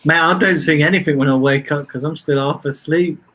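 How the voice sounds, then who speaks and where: A man's voice: fairly high pitch, fairly even intonation, a little fast, a bit bright, slight background noise. A man who is a panel guest in a casual chat, often chiming in with short agreeing interjections.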